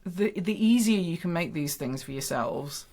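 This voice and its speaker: A woman's voice: on the low side, expressive, moderate pace, darkish tone. A woman guest on a casual podcast, giving confident business advice with frequent restarts.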